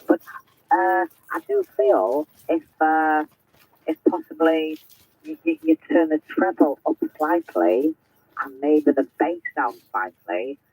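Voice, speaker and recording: Lively-voiced man in his fifties on ham radio. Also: on the high side, takes it slowly, gravelly, slightly rough, poor audio. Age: 50s. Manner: chatty, hesitant, full of restarts. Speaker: amateur radio operator. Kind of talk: ham radio contact chat.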